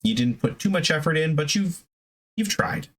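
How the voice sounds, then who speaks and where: A man's voice: fairly high pitch, animated, normal speed. A man giving casual, unscripted hobby advice as a video host, in a conversational, emphatic way that rambles into asides.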